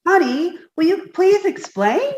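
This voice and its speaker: A naive, dim-witted young woman , acted by a woman in a vintage radio comedy, earnest and innocent. The voice is very high and slow, and the recording is echoey. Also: big pitch swings, a little mellow, muffled recording, exaggerated voice. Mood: innocent, eager. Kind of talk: 1950s radio comedy acting. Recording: echoey room.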